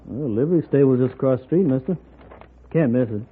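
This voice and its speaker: A man acts as a folksy frontier stage clerk in an old radio western. His American voice is medium-pitched, plain and helpful. The sound is narrow and muffled. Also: animated, a little fast, slight background noise, lightly acted. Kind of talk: 1950s radio western acting.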